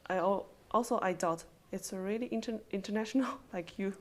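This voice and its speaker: Chinese woman speaking halting English. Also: medium pitch. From China. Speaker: guest. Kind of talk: casual conversation.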